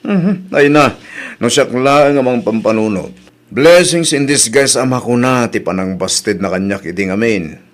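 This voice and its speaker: A man's voice: medium pitch, unhurried, lightly acted. A man playing an easygoing young construction worker in a comedy audio drama, speaking conversationally with a Filipino accent. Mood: resigned.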